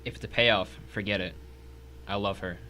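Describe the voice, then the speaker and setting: A man's voice: fairly low pitch, fairly even intonation, normal speed, pushed and bright, a little hiss or hum. A man acting a guarded, stubborn ex-convict tennis pro in a story drama, terse and defiant. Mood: defiant, wary. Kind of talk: dramatic audio reading of a short story.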